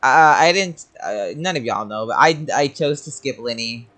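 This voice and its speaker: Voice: mid-range voice, animated, normal speed, fairly bright tone. A gaming streamer chatting casually in reaction to gameplay, with slangy, irreverent muttered asides.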